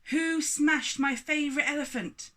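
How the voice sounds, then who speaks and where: Lady doing a big angry storybook giant dad, loud and pompous, pitched up from her usual. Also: a little slow. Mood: furious. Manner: booming, accusatory, pompous. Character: huge thundering angry father giant with quivering moustache.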